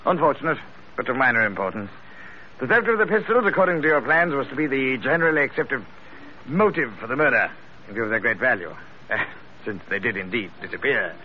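A muffled, faintly noisy old radio recording of a man playing a wily gentleman investigator. He is pompous and deliberate, with florid phrasing and very animated pitch that rises and drops theatrically. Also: natural voice. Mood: smooth, menacing. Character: wily, pompous British investigator posing as a smooth blackmailer. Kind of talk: 1940s-50s radio drama acting.

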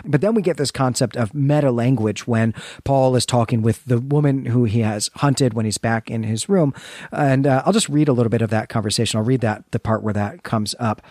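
A man co-hosting a discussion show, talking through ideas in a conversational, thoughtful and halting way as he explains. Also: medium pitch, darkish tone, no echo, clean studio sound. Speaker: podcast co-host discussing fiction.

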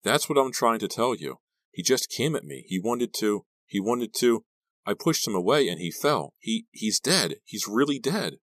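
A man's voice: lowish voice, sing-song, unhurried, hardly any pauses, sharp tone, dry recording, voice pushed up, heavily acted. Man voicing a panicked, snappish teenage girl. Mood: shaken, breathless. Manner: stammering, breathless, pleading then snapping.

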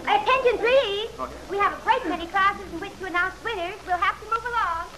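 A woman's voice: very high voice, brisk, sharp tone, rough recording. An actress playing a gushing, fluttery society lady making formal announcements in an old-time radio drama. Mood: insistent. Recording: vintage radio recording with background noise.

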